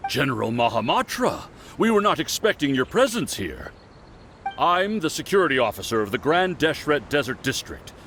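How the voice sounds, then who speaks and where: An actor playing an eager, deferential desert security officer in a video game, formal and flattering. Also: male voice, medium pitch, normal speed, a little hiss or hum. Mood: fawning. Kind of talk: video game cutscene acting.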